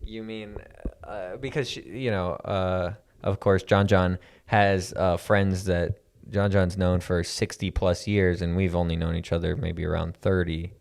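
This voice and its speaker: A man hosting a comedy podcast and playing along in an improvised bit. He talks haltingly, with many fillers, and keeps a dry straight-man delivery. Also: deep voice, little pitch movement, creaky, darkish tone. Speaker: podcast host.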